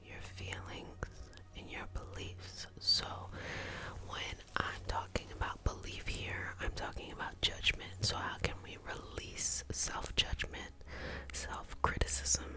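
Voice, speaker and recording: A woman guiding an ASMR energy-healing meditation in a soothing, repetitive, gently encouraging manner. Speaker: ASMR reiki healer guiding a session.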